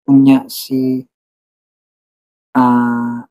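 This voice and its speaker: A man with a mellow, relaxed tone and mid-range pitch hosts a drama channel. He talks informally to listeners, often tacking on short tag questions. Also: drawn-out words. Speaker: host of an online radio drama channel. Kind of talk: casual chat, reading and thanking listener comments.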